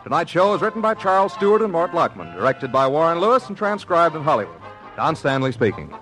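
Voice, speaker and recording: A man announces closing credits in old-time radio style. His voice is fairly high and very lively, with music playing behind. It has the sound of a 1950s broadcast. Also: fast, poor audio.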